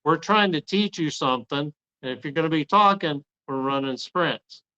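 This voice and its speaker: Bright, smooth-voiced man on a dull mic. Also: a little high, moderate pace. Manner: tells stories, acting out his own quotes. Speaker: podcast host, former assistant coach. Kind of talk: casual interview talk.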